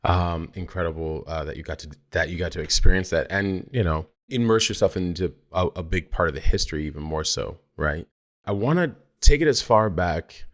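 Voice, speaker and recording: Very deep-voiced American man in his forties. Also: brisk. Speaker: podcast host. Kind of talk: conversational podcast interview.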